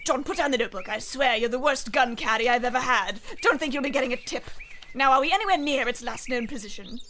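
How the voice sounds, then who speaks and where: A woman's voice: high voice, fast, bright, forceful tone. An actress playing a brash, imperious huntress who barks orders at her assistant in a comedic radio play. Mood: bossy. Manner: imperious, impatient, barking orders. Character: brash bossy woman big-game huntress.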